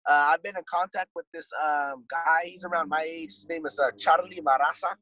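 A man's voice: on the high side, steady tone, normal speed, sharp tone, no echo, muffled recording. Laid-back swearing man, dry close mic. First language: English. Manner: laid-back, rambling, swears a lot, says "dude". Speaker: musician guest. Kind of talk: casual conversation.

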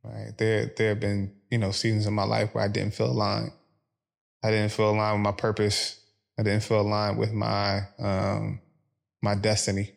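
A man from Philadelphia answering interview questions in a low, gravelly voice. He talks in a level, even tone, calm and measured, pausing often as he gathers his thoughts. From Philadelphia, USA. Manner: calm, measured, with frequent pauses and fillers. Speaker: podcast guest. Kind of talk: reflective interview conversation.

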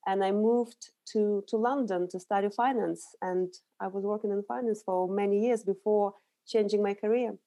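A middle-aged woman with a Russian accent talks through her routines and background as a podcast guest. Her voice is mellow and soft-edged, sitting at an ordinary middle pitch. She explains things earnestly and often restarts a phrase before going on. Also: fairly even intonation, normal speed. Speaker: guest, nutritional therapist. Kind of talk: conversational interview answers.

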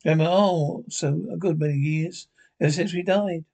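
A man voicing a placid, pious old grandmother, folksy and soothing, with a husky voice. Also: a little high, normal speed, a little mellow.

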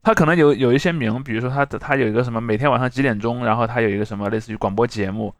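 Fast-talking man, dry close sound. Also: medium pitch, dry recording. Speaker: podcast host. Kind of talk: casual chat.

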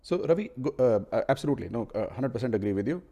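A man's voice: medium pitch, lively intonation, racing, mellow. A man whose first language is Hindi, co-hosting a casual tech discussion and telling a consumer story in a thoughtful way, with frequent restarts. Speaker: co-host.

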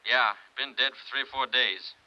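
An actor playing a brisk, blunt deputy calling from the sheriff's office in a 1950s radio drama. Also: male voice, mid-range voice, no echo, highs cut off, close to an everyday voice. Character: brisk male deputy on the phone.

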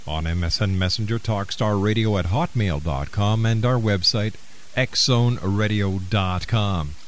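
Radio host guy, lowish voice, steady reading out numbers, bit of hiss. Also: measured pace. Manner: steady announcer reading phone numbers and plugs. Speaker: radio talk show host. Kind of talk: radio talk show hosting.

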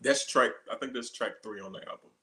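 A man's voice: medium pitch, brisk, bright, forceful tone. Man in his thirties, echoey room. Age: 30s. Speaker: guest singer-songwriter. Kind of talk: casual interview chat, explaining songs. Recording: roomy, echoey sound.

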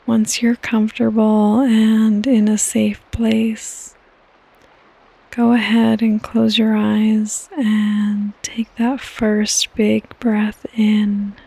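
A woman hosting a guided sleep meditation in a soft, breathy, partly whispered voice, with calm, gentle instructions and long pauses. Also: mid-range voice, no echo, low-quality recording. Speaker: podcast host and meditation guide.

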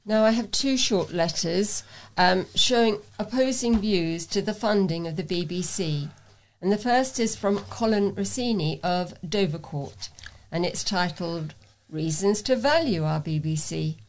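A woman's voice: medium pitch, a little slow, low-quality recording. A woman reading readers' letters aloud as a volunteer on a local talking newspaper.

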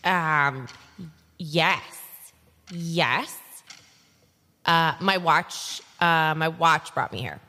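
A woman playing an anxious, flustered secret agent in an improvised audio drama, muttering nervously and haltingly. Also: low-pitched, sharp tone, full character voice. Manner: halting, nervous, repetitive muttering and self-encouragement. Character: anxious, flustered female secret agent, talking herself through danger.

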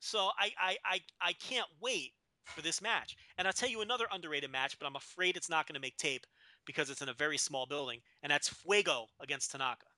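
A man's voice: fairly high pitch, lively intonation, a little fast, pushed and bright, no room sound. A man in his thirties co-hosting a casual wrestling podcast, rambling and enthusiastic with plenty of swearing. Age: 30s. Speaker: podcast co-host.

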